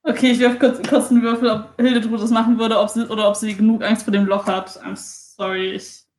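A woman's voice: on the high side, flat delivery, a little fast, a little mellow. A woman playing a tabletop role-playing game, chatting casually as she describes her character's moves and slipping into English phrases. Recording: echoey, roomy sound.

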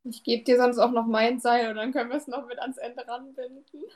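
A woman's voice: a little high, flat delivery, fairly quick, a little mellow. A woman playing a tabletop role-playing game, chatting casually about her character's actions.

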